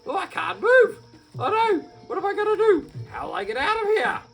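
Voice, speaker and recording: A man's voice: normal speed, faint music behind, heavily acted. A man doing a squealing cheeky hoofed creature. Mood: panicked. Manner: high excited squeals, teasing. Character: cheeky greedy creature with hooves.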